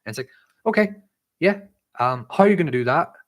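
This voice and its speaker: A man's voice: on the high side, lively intonation, brisk, fairly bright tone. A man hosting a live Q&A stream, chatting informally and thinking aloud as he reads and answers viewer questions.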